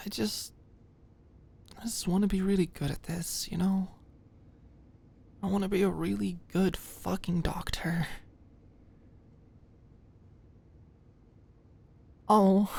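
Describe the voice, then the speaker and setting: A woman's voice: lowish voice, vocal fry, darkish tone, husky, full character voice. A woman playing an anxious, stressed-out young medical student in an intimate roleplay monologue, rambling and stammering as she goes. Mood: quiet, vulnerable. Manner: rambling, stammering, hesitant, swearing.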